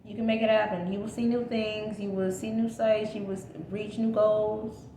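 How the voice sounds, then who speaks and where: A woman's voice: medium pitch, level tone, moderate pace, warm tone. A woman reads tarot cards for her audience in a loose, conversational way, repeating encouragements and checking in often. Her voice sits in an ordinary middle range with a faint scratchiness from an oncoming cold. The recording carries noticeable room echo and a faint hiss underneath. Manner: chatty, repeats reassurances, frequent "okay?" check-ins. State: slightly scratchy from a coming cold. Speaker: tarot reader. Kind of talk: casual tarot reading to camera.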